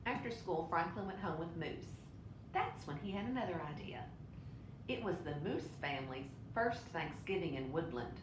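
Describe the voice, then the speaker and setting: A woman reading a children's picture book aloud in an expressive way. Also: normal speed. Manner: expressive, with brief asides to listeners. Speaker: storybook reader. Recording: roomy echo, clear low rumbling noise.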